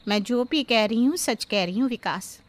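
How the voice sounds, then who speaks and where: A woman's voice: fairly high pitch, lively intonation, normal speed, close to an everyday voice. A woman voicing an earnest young mother who stands up firmly for her daughter. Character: young mother, hurt but determined wife.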